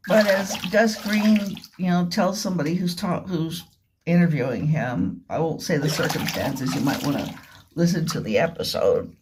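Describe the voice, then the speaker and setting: A woman giving a rambling, informal spoken commentary, halting and repetitive, leaning on words for emphasis. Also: low-pitched, noisy background. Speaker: vlogger. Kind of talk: rambling informal monologue retelling and commenting on a radio drama.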